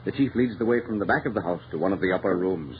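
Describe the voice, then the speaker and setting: A man's voice: low voice, lively intonation, fast. A man narrating a scripted old-time radio mystery in a formal, dramatic, declamatory style. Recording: muffled, hissy vintage radio recording.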